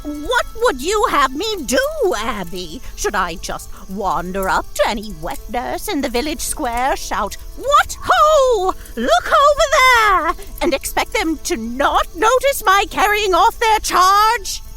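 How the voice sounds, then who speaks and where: A woman's voice: very high-pitched, big pitch swings, slow pace, few pauses, bright, forceful tone, soft background music, heavily acted, poor audio. A woman playing a gleefully wicked old witch with fussy, theatrical, archaic speech in a comic horror audio drama. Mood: defensive, indignant.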